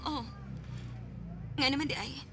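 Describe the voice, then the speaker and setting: A woman's voice: sits high, takes it slowly. An actress playing a wounded young former girlfriend putting on a brave face in a sentimental radio drama. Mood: hurt, guarded. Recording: noisy, with background ambience.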